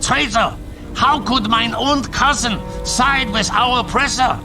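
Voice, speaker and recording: A man's voice: extremely high pitch, slow, heavily acted. A man playing an indignant, betrayed fantasy clansman with archaic, declamatory speech. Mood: outraged. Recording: distant mic under music.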